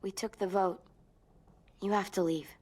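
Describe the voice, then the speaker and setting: A woman's voice: medium pitch, steady tone, moderate pace, a little mellow, lightly acted. A woman voicing a blunt, tough teenage girl in naturalistic video game drama. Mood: subdued, regretful. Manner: blunt, sardonic.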